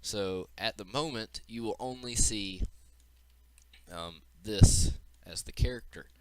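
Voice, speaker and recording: A man's voice: fairly low pitch, slow, a little mellow, a little hiss or hum. A man giving an informal, unscripted walkthrough of a game demo as its developer, explaining as he goes, with audible breathing into the mic.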